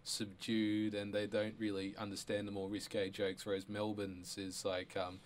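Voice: low-pitched, completely flat delivery, moderate pace, slight background noise. A radio host, interviewing a guest with lots of ums and backchannel murmurs. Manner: hesitant, lots of ums and mm-hmms.

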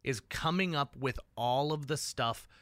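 A man's voice: medium pitch, a little slow, a bit bright, no echo. A man hosting a radio show, chatting in an upbeat, enthusiastic and emphatic way from a studio. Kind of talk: upbeat radio interview chat. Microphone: close studio microphone.

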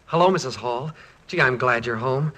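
A man's voice: mid-range voice, normal speed, noisy background, natural voice. A young man playing an earnest, bashful college student with a boyish, polite manner in 1940s radio comedy style. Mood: bashful, apologetic. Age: young adult. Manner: boyish, polite, eager, apologetic.

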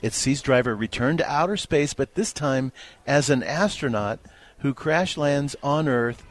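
A man's voice: mid-range voice, animated, a little slow. A man co-hosting a radio movie show, chatting casually and playfully with wry remarks. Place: radio studio.

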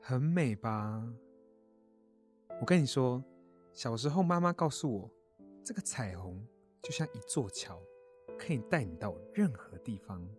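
A man voicing a kindly grown-up mole in a children's audio story, gentle, caring and reassuring. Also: mid-range voice, animated, measured pace, long pauses, soft background music, close to an everyday voice. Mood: encouraging.

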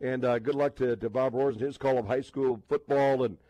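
A man's voice: medium pitch, fairly even intonation, fairly quick, dark tone, no room sound, highs cut off. A man hosting a live sports talk show, speaking casually in an emphatic, jocular way. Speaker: sports radio host and play-by-play announcer.

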